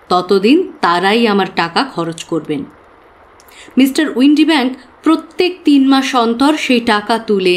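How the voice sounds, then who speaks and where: An actress playing an earnest young working woman who confides expressively and with pauses in an audio drama. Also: medium pitch, lightly acted. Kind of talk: dramatised audio story acting.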